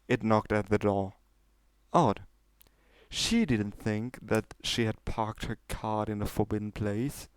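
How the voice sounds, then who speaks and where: A man's voice: fairly low pitch, smooth, no echo. A man reading fan fiction as an amateur narrator, moving between casual talk and storytelling.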